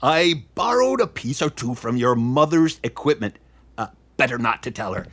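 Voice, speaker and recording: A man playing an eccentric, cheerfully oblivious inventor father, excitable and haphazard, in a children's audio drama. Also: mid-range voice, moderate pace. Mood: enthusiastic, scheming.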